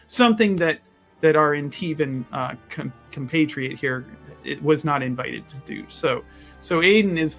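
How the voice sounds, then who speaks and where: A man with a fairly high voice plays in a tabletop roleplaying session. He improvises his character's story in long, halting phrases and circles back on his words. Faint music plays behind him. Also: vocal fry, a bit bright. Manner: halting, repetitive, wordy.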